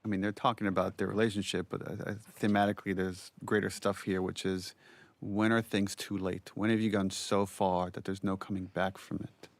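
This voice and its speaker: A low-voiced man with a marked gravelly creak. He comments casually and reflectively, thinking through a story as he talks. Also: level tone, brisk, dry recording. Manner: reflective, analytical.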